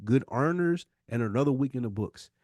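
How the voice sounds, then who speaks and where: A man's voice: mid-range voice, expressive, brisk, a little mellow, no echo. American guy cohosting a podcast, real earnest n emphatic, repeats himself, dry close sound. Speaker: podcast co-host. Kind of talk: candid heart-to-heart conversation.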